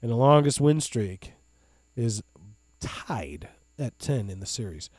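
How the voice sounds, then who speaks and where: A man's voice: medium pitch, animated, slow, a little mellow, no room sound. Enthusiastic man podcasting. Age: 30s. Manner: enthusiastic, hype-man plugs. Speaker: podcast co-host. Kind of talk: casual sports podcast chat.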